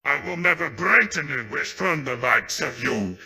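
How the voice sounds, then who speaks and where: An immortal djinn villain from a video game, grand and menacing. The voice is piercingly forceful and very raspy, with a gravelly edge. He boasts like someone sure of ruling the world. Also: a little high, expressive, normal speed, soft background music, exaggerated voice. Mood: defiant, boastful. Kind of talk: dubbed video game cutscene dialogue.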